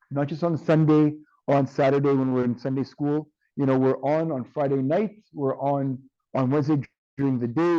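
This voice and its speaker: Guy teaching online class, voice worn out, keeps saying right?, higher-ish mellow voice. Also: no room sound, muffled recording. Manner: checks in with "right?" often, many ums. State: tired, strained voice. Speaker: instructor.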